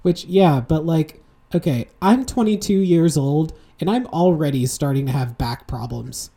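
A man in his twenties co-hosting a casual podcast, telling rambling, animated stories full of fillers and asides. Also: a little high, measured pace, gravelly. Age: 20s. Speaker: podcast co-host. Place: home room, seated with a heating pad.

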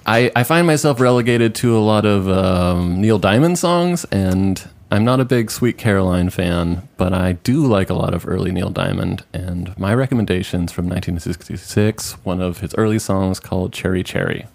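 A man with a deep baritone voice, co-hosting a casual podcast chat with wry, dry jokes. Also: moderate pace, vocal fry, few pauses, a little mellow. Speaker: podcast co-host.

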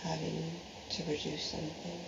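A woman speaking slowly and dreamily under hypnosis, played back from a recorded clip. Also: deep voice, gravelly. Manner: slow, flat and dreamy. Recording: noisy, echoey, dull archive clip.

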